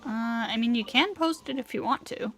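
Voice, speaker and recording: A woman's voice: a little high, moderate pace, fairly bright tone. A woman game streamer giving casual live commentary, musing aloud to herself as she plays. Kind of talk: casual livestream gameplay commentary. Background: faint game music.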